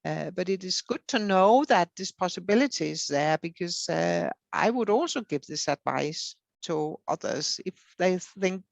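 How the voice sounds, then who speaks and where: A woman's voice: fairly low pitch, lively intonation, a little slow, gravelly, few pauses, dry recording. A woman whose first language is Danish, answering interview questions fluently as a federation secretary.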